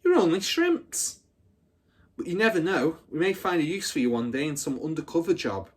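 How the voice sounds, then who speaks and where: A man's voice: on the high side, animated, moderate pace, husky, pitched above the performer's own voice. Man voicing a boastful, swaggering schoolgirl storyteller. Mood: defiant, grandiose. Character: boastful, battle-hardened older schoolgirl telling her exploits.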